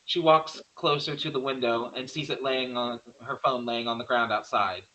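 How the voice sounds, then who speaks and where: Guy narrating screenplay directions at a table read, crisp bright tone, average pitch. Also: fairly even intonation, moderate pace, rough recording. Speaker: narrator reading stage directions.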